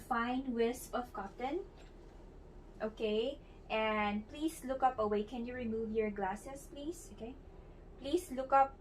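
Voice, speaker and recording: A young woman demonstrating a clinical examination, explaining each step as she instructs the patient, with frequent fillers and restarts. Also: a little high. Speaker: student demonstrating a clinical exam. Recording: distant mic with faint background noise.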